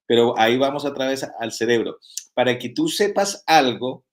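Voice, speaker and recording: A man's voice: mid-range voice, expressive, moderate pace. A man, a pastor and author, guesting on a video call interview and speaking in an earnest teaching tone full of rhetorical questions. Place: home in Spain.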